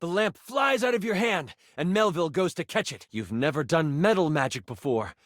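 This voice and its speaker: An actor playing a theatrical game master who narrates a fantasy adventure with suspense. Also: male voice, fairly high pitch, lively intonation, moderate pace, fairly bright tone, no echo, clean studio sound. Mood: excited. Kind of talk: dramatic second-person scripted narration.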